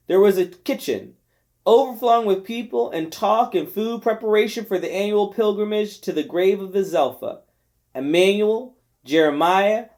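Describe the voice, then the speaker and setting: A man narrating a novel aloud in an expressive storytelling style with deliberate phrasing. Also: sits high. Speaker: volunteer reader of a novel. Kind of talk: scripted audiobook narration.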